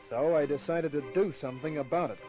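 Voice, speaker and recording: An actor playing a tough, wisecracking private detective in a clipped, dry, world-weary manner, in vintage radio noir style. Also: male voice, a little high, quick pace, loud music behind the voice, close to an everyday voice. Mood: terse, brooding. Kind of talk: 1950s radio noir acting and narration. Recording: dull vintage radio recording.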